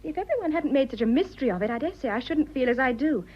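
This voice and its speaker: Casting: romantic British wife, twenties, earnest and hesitant, husky high register, stage comedy on radio, slightly muffled sound. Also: female voice, lively intonation, quick pace. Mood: romantic, pleading. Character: romantic, idealistic, nervous young English wife of twenty-five. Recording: slightly dull, lo-fi audio.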